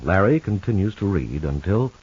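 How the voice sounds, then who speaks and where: A man's voice: deep voice, sing-song, moderate pace, darkish tone, breathy edge. A man with an American accent narrating a 1950s radio soap opera as its announcer, formal and dramatic in setting each scene. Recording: muffled old radio recording.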